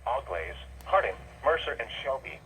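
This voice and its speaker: A man's voice: medium pitch, big pitch swings, normal speed, pushed and bright. A man's voice reading an automated weather bulletin as an announcer, played through a radio speaker. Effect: radio broadcast through a small speaker. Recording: noisy radio speaker picked up in room.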